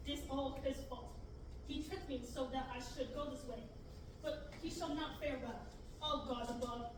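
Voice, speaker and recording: A woman's voice: high-pitched, lightly acted. A young woman reading the part of a fussy, anxious male robot at a table reading, dramatic and stumbling over the verse lines. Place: large classroom with many seated readers. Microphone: far camera. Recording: echoey room, far-off mic, background noise.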